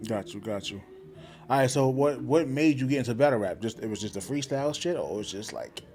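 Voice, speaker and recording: A man's voice: medium pitch, expressive, a little fast, a little mellow, slight background noise. A man hosting a casual podcast, asking easygoing questions with short affirmations. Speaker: podcast host. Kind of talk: casual interview.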